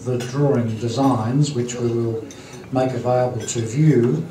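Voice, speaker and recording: A man hosting a lunch event, giving a halting formal introduction full of ums and throat clearing. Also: mid-range voice, steady tone, noisy background. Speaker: event host introducing a speaker. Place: dining hall at a lunch event. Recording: roomy hall, distant mic, clattering dishes.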